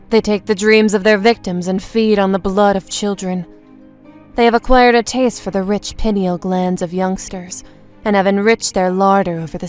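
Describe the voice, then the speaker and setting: A woman playing a serene, mystical guru of another realm in an audio comic drama, with a measured, solemn delivery. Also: mid-range voice, lightly acted. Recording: music under the voice.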